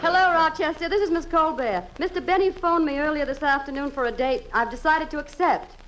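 A woman's voice: sits high, brisk, fairly bright tone, rough recording. A woman playing a composed, self-possessed society lady in an old-time radio comedy. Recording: faintly hissy old radio recording.

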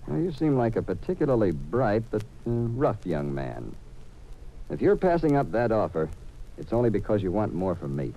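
Haughty, mellow-voiced radio lawyer. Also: male voice, on the low side, huge pitch swings, normal speed, slight background noise. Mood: skeptical. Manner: formal, precise, haughty phrasing. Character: skeptical, indignant well-to-do male lawyer. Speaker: supporting actor. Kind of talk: 1950s radio drama acting. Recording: muffled old radio recording.

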